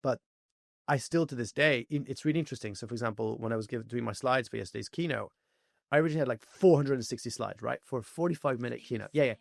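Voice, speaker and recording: A man in his forties from France talks fast and tells his stories with lots of fillers. His voice is gravelly and he sounds close to a dry mic. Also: mid-range voice, no room sound. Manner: fast, animated storytelling with many fillers. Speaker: podcast guest. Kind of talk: casual interview chat.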